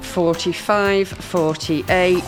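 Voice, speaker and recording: A woman's voice: lowish voice, steady tone, drawn-out words, over music. A woman co-presenting a radio birthday quiz, breezy and playful. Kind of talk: casual radio chat.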